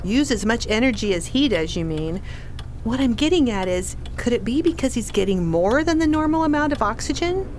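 A woman's voice: on the high side, animated, brisk, darkish tone, slight background noise, lightly acted. A woman playing a dry, practical, anxious pregnant wife in a radio play. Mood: dry, uneasy. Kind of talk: radio drama acting.

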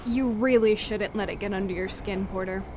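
A woman plays a cool, resolute former rebel in a radio play. She speaks at a middling pitch in a measured, brooding, earnest way. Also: fairly even intonation, noisy background, natural voice. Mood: coldly menacing. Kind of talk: audio drama acting.

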